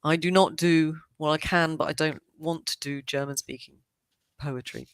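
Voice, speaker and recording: A woman's voice: sits low, steady tone, normal speed, smooth, no room sound. A middle-aged woman, a native English speaker living in Switzerland, chatting as a podcast guest in an eager, rambling way with many restarts. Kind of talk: casual interview chat.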